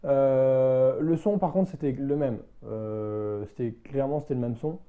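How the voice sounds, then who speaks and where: A man giving a casual, unscripted product review as a motorbike vlogger, in a hesitant, halting way that circles back to rephrase. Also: medium pitch, phone-quality sound.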